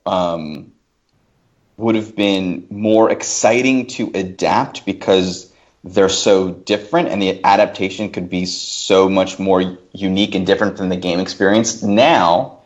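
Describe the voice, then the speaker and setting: A man's voice: sits low, fairly even intonation, a little slow. A man talking as a podcast panelist, thoughtfully working through and qualifying his points in casual discussion. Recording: slightly dull, lo-fi podcast audio.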